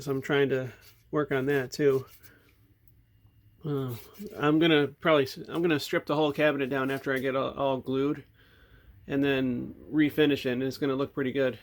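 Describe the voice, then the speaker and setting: A man giving a casual, unscripted hands-on demonstration, thinking aloud in a low-key way as he works at an indoor bench. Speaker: hobbyist host showing a radio repair. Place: indoor workbench. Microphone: handheld or tripod camera mic.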